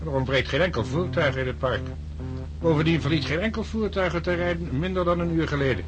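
A man's voice: fairly high pitch, a little fast, close to an everyday voice, low-quality recording. Matter-of-fact doctor played by a man, music behind. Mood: factual, concerned. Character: matter-of-fact male duty doctor reporting to colleague. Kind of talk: radio drama acting.

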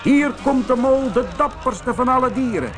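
Man as excitable little mole, high-pitched. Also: moderate pace, music in the background. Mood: boastful, bold. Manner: eager, exclaiming. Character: excitable, boastful, brave little male mole.

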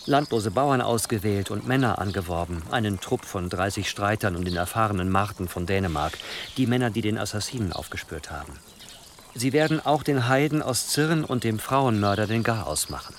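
A man's voice: on the low side, animated, fairly quick, slight background noise. An actor playing a ruthless, scheming churchman who speaks with formal, calculating deference in a German historical radio drama. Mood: smug, deferential. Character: scheming, obsequious, ruthless churchman advising his bishop.

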